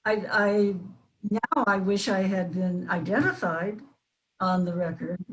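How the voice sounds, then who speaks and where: A woman's voice: mid-range voice, fairly even intonation, takes it slowly, a crowd in the background, low-quality recording. An older woman, a former performer appearing as a panel guest, reminiscing casually and hesitantly.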